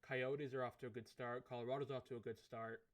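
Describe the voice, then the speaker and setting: A man with a hoarse, fading voice, thinking aloud as he commentates a hockey management game. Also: medium pitch, flat delivery. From Canada. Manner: musing aloud, unhurried, thinking through choices. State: hoarse, losing his voice. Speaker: gaming commentator. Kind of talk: let's play commentary of a management sim.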